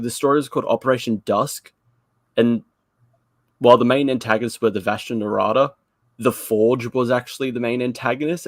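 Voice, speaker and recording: A man's voice: mid-range voice, no room sound, studio quality. A man giving a casual review as a panelist, hesitant and often repeating his words. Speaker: audio drama review panelist.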